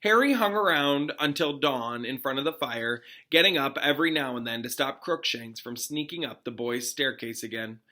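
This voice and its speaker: A man's voice: mid-range voice, animated, moderate pace, few pauses, bright, forceful tone. A man who is a classroom teacher, reading a storybook aloud expressively with casual, informal asides to pupils.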